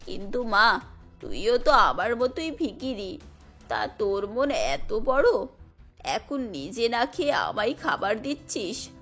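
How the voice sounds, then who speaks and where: A woman voicing a sorrowful, humble old beggar grandmother in a cartoon, with slow, weary, resigned speech. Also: a little high, very animated, a bit bright, faint music behind, pitched below the performer's own voice, exaggerated voice. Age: older.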